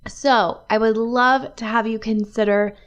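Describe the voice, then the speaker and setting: A woman hosting her own podcast, her voice hoarse from a cold, chatting in an upbeat way and laughing often. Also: a little high, steady tone, unhurried. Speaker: podcast host, life and business coach. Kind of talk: solo podcast talk.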